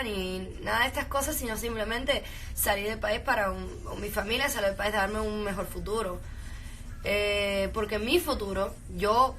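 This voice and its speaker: A woman's voice: medium pitch, sharp tone. A young woman from Venezuela with a Cuban Spanish accent, chatting casually with frequent laughs, fillers and restarts. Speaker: actress answering fan questions. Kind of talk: casual chat, switching between Spanish and English.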